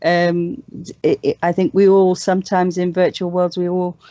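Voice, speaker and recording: A woman's voice: lowish voice, level tone, normal speed, dark tone, no room sound. A woman speaking as an enthusiastic guest in a casual online panel discussion. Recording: dull, lo-fi online voice call.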